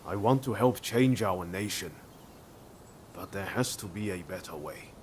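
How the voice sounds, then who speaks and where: An earnest young samurai in a game, lowish pitch, thoughtful, slight background noise. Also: male voice, animated, unhurried. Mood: earnest, reflective. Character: earnest, reflective young male samurai wanting change. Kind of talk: video game cutscene acting.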